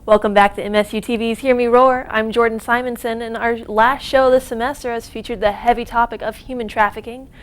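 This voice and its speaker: A woman's voice: on the high side, fairly even intonation, a little fast, hardly any pauses. Upbeat woman hosting a student TV show. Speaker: student TV host.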